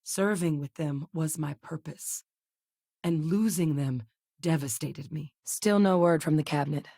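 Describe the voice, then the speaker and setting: An actress voicing a composed female military officer in a video game, speaking in a measured, earnest, matter-of-fact way. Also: low voice, mellow, dry recording, studio quality. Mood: steady. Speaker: game character. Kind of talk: game voice lines.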